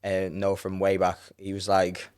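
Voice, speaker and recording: A young man with a Scouse accent, a rapper and producer chatting casually as an interview guest, relaxed and quick to laugh. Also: deep voice, smooth. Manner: relaxed, laughs often, trails off into fillers.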